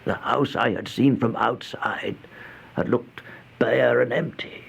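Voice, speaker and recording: An actor playing a starving, feeble, fearful vagrant in an old-time radio horror monologue, halting and stammering, with gasps and whispers. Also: male voice, mid-range voice, creaky, slightly rough, lo-fi sound, full character voice. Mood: fearful, uneasy. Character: starving, feeble, once-proud male vagrant turned housebreaker, haunted and fearful. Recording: noisy old radio drama recording.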